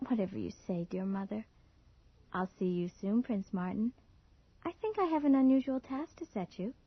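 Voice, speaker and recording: Husky, mocking young woman. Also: mid-range voice, expressive, moderate pace, dry recording. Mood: teasing, eerie. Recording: muffled old radio drama recording.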